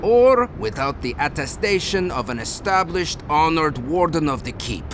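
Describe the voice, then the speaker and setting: An actor playing a rigid, stern gate guard who speaks formally and officiously in a video game. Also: male voice, on the high side, animated, normal speed, noisy background. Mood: stern, unyielding. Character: gruff, rigid, rule-quoting gate guard. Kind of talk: video game character acting.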